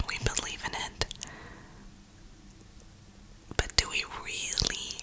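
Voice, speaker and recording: A woman whispering a reflective, rambling ASMR monologue in a breathy, unhurried way, with drawn-out pauses. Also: poor audio. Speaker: ASMR video creator.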